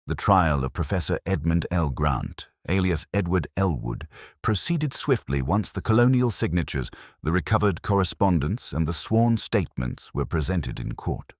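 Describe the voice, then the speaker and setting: Male narrator, very low pitch, lively intonation, solemn measured storytelling, dry close sound. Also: dry recording. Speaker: audiobook narrator. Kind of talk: scripted literary narration of a Victorian detective story.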